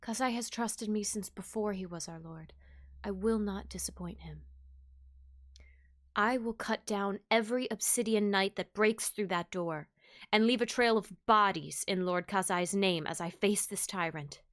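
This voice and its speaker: A resolute warrior woman voiced by an actress speaks with fierce loyalty at a medium pitch. She is dramatic in the manner of a fantasy audio play. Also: measured pace, close to an everyday voice. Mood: grim, determined.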